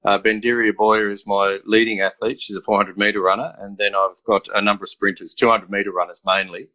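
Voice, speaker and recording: A man's voice: fairly low pitch, fairly quick, dry recording. Laid-back Australian man in his fifties. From country New South Wales, Australia. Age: 50s. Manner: relaxed, rambling, many uh fillers. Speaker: athletics coach, guest. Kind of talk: casual remote interview storytelling. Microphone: video call.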